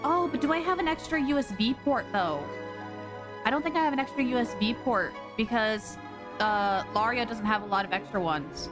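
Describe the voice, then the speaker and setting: A young woman with a sore throat chatting casually and excitably on a live stream, scatterbrained and full of gasps. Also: a little high, moderate pace. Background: background music.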